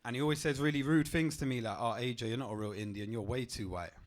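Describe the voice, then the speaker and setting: A young man, doing a stand-up comedy set on a club stage with a deadpan, punchy delivery. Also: fast talker. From England. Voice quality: deep voice.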